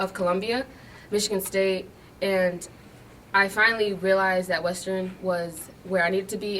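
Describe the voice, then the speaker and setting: A woman's voice: mid-range voice, a bit bright. A young woman, a graduating student, answering interview questions in short, earnest statements. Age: young adult. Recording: distant mic, faint noise.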